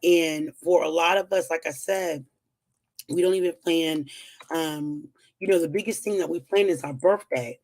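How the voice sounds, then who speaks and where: A woman hosting a live whiteboard lesson on finances, her voice rough and strained as it comes back after being lost. She talks in a relaxed, chatty way, pausing on "um"s and nudging viewers along with upbeat encouragement. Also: low voice. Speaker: financial educator hosting a weekly livestream. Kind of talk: casual live-stream teaching at a whiteboard.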